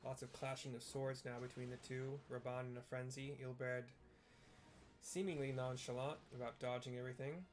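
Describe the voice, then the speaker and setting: Guy casually narrating a game plot, pretty flat voice. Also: mid-range voice, moderate pace, soft background music. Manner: flat, plain-spoken describing. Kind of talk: casual retelling of game cutscenes.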